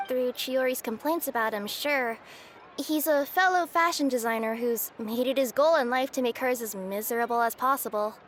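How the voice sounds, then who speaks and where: A woman's voice: sits high, normal speed, a little hiss or hum, close to an everyday voice. A woman voicing a polite, anxious young courier in a video game, youthful, earnest and a little hesitant. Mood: reluctant. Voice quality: youthful, girlish. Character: anxious, polite young female courier, fretting over her friend. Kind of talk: video game character acting. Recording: music playing behind the voice.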